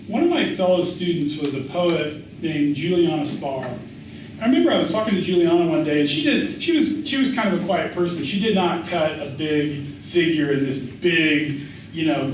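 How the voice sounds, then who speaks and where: A middle-aged American man, an author and writing teacher, talking informally in a question-and-answer session, anecdotal and often doubling back on his phrases. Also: on the high side. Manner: conversational, anecdotal, often restarts and repeats phrases for emphasis. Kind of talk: informal spoken Q&A after a reading. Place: indoor reverberant event room. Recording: echoey room, distant mic, faint noise.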